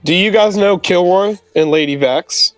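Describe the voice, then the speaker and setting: A man plays a young gambler in a tabletop game, always angling for a bargain. The voice sits a little high for a male, with music behind it. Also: moderate pace, lightly acted. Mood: breezy denial.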